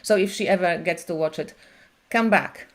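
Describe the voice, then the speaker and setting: A woman who reads tarot, chatting on a live stream in an animated way with many fillers. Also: on the low side, normal speed. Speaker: tarot reader and channel host. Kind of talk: casual conversation on a live stream.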